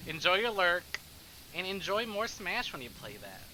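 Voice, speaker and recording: A man's voice: sits high, very animated, normal speed, bright, forceful tone, a little hiss or hum, low-quality recording. A man livestreaming a game, chatting casually in slang and swearing freely. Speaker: game streamer and host.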